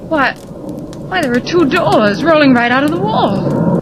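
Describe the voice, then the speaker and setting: An actress playing a curious, eager young newspaper reporter in a 1940s radio serial, inquisitive and full of wonder. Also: female voice, sits high, sing-song, measured pace. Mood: curious, surprised. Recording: noisy vintage 1940s radio recording.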